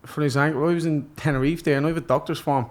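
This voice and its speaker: Animated irish guest, quick talker, fairly high voice, laughs a lot. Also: male voice. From Ireland. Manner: animated storyteller, laughs often, slangy. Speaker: podcast guest, professional boxer. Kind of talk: casual chat.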